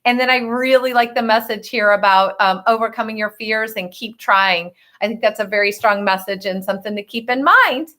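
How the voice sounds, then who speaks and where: Woman, slightly high voice, children's read-aloud, expressive delivery, dramatic pauses, teacherly asides.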